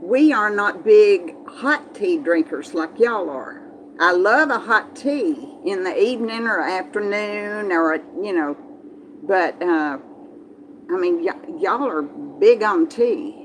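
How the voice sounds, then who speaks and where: A woman's voice: medium pitch, animated, slow, a little hiss or hum, poor audio. A woman with a Southern Texas accent, chatting casually to live viewers in a folksy, meandering way and often repeating herself. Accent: Texas Southern American. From Texas, southern United States. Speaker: livestream host.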